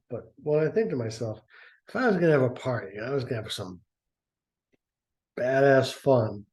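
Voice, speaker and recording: A man reads a story aloud and keeps stopping to mock it with dry, sarcastic asides. His voice is mellow and dark in tone, at a middling pitch. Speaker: reader and commentator. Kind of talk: dramatic reading with sarcastic commentary.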